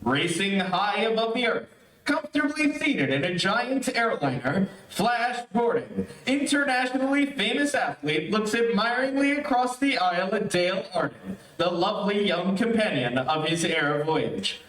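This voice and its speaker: A man's voice: high-pitched, very animated, moderate pace, fairly bright tone. A man hosting in a theatrical old-time radio announcer style, formal and declamatory. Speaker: host and announcer. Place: theater stage. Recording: echoey theater, distant mic, live stream.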